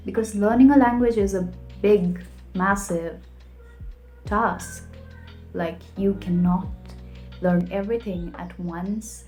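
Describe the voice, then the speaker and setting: A woman's voice: mid-range voice, a little mellow. Young woman giving emphatic tips. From Nepal. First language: Nepali. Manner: emphatic, tip-by-tip delivery. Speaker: vlogger. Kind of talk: scripted advice vlog. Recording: echoey room with faint music.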